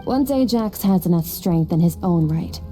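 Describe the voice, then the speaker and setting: Game casting: woman to voice aloof commanding swordswoman mentor, deep and very mellow, detached delivery, faint music underneath. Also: animated, lightly acted. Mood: detached, reflective. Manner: detached, measured, imperious. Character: aloof, coolly commanding woman warrior mentor, stern and unsentimental. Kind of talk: video game voice acting.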